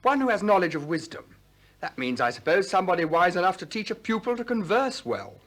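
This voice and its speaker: An actor playing an eager, earnest young Athenian disciple in a 1960s radio play. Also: male voice, sits high, big pitch swings, a little fast, a bit bright, exaggerated voice. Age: young adult.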